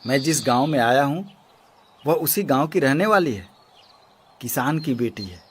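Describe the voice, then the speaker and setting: A man's voice: medium pitch, expressive, moderate pace, a bit bright, slight background noise, lightly acted. A man playing an earnest, resolute young engineer and devoted suitor in a moral story drama. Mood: earnest, firm. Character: earnest, lovestruck young male engineer, firm-minded son. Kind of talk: Hindi moral story voice acting.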